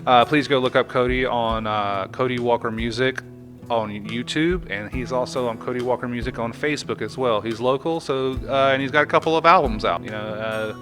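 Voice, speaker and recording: A man's voice: medium pitch, fairly quick, music in the background. A man hosting a podcast, talking in a chatty, informal way. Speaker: podcast host. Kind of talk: casual podcast sign-off.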